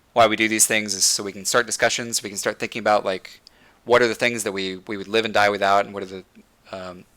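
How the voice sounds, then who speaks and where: A young man talks to his livestream chat, building his points with repeated words and restarts. His voice is bright and tense. He is audibly losing his voice as he goes. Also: lowish voice, clean tone. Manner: passionate, repeats words while thinking. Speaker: livestream host. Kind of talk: casual gaming rant to chat.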